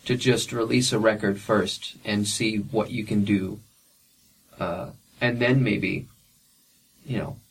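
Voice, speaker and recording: A man's voice: fairly low pitch, breathy edge. Young guy musician talking frankly in an interview, huskyish voice, mic kinda far off. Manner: frank, opinionated, with frequent fillers and restarts. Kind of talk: casual interview answers.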